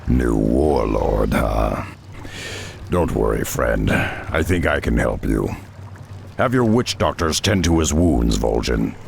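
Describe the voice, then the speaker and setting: A half-orc warrior from a fantasy game. His voice is extremely low and full of gravel. He speaks tersely, with calm resolve, over a noisy bed of ambience. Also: slow pace, exaggerated voice. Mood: calm, assured. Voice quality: very deep, gravelly. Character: gruff, steady half-orc warrior hero. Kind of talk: video game cutscene acting.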